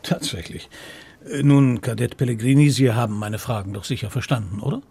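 A man's voice: medium pitch, brisk, vocal fry, mellow, slightly rough. A middle-aged man acting a shrewd, patient police commissario in a radio drama, probing and dry in manner. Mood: dry, challenging.

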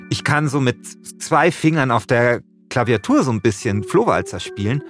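A middle-aged man hosting a podcast as a journalist, speaking colloquially in a mix of casual chat and first-person narration. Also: mid-range voice.

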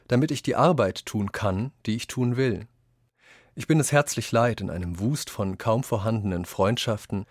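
A man reads scripted quotations in a radio essay, in a measured, deliberate and articulate manner. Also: lowish voice, no room sound, studio quality.

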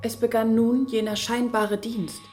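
An actress plays an earnest woman in a radio drama, confessing reflectively. Her voice is fairly high, with some music behind it. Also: little pitch movement, a little slow, a little mellow, natural voice. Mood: earnest, pained. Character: earnest woman recalling her family's humiliation, echoing voice. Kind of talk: literary radio play monologue.

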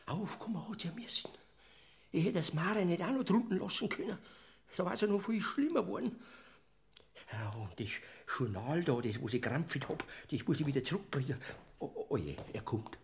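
A man's voice: on the high side, animated, moderate pace. An actor playing a timid, sly male Death figure in a classic Bavarian radio play, with a whiny, stammering manner and a Bavarian accent. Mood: nervous, fretful.

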